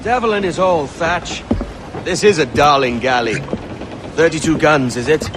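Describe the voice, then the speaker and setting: Actor as a hearty pirate, fairly high-voiced. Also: male voice, normal speed, close to an everyday voice. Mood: hearty, admiring. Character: hearty, admiring pirate comrade. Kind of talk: video game cutscene dialogue. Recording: noisy boat and water ambience.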